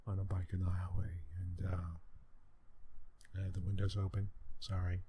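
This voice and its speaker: A man softly narrating a visual novel in an ASMR style, with hushed, unhurried, halting delivery and asides to listeners. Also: low voice, monotone. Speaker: ASMR let's play host and reader. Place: room with windows open near a highway. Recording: clear background noise, open window.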